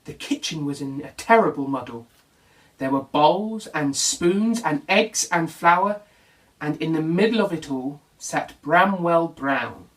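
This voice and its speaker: A man reading a children's bedtime story as an expressive narrator. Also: fairly high pitch, a little slow. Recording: voice distant from the mic.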